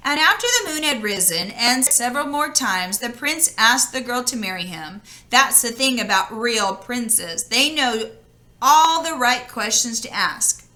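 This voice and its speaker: Bright, high-voiced woman reading a storybook. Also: lively intonation, unhurried. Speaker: storybook reader. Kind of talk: expressive children's read-aloud, with casual asides.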